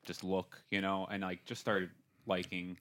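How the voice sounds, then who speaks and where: A man's voice: sits low, monotone, unhurried, fairly bright tone. A man joining a podcast conversation, talking loosely with lots of fillers. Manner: loose, filler-heavy talk. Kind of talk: casual podcast chat.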